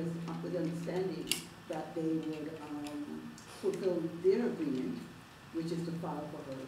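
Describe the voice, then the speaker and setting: A middle-aged woman with a Jamaican accent, giving an earnest, halting town hall speech full of fillers and restarts. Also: sits low, distant mic. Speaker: civil rights advocate speaking at a town hall. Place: public meeting hall. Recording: roomy hall recorded from afar, noisy.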